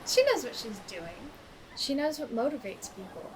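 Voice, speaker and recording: A woman's voice: fairly high pitch, very animated, moderate pace. A woman acting a loyal group member in an audio drama, casual and matter-of-fact. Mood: assured, casual. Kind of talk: naturalistic audio drama dialogue. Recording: clear outdoor ambient noise behind.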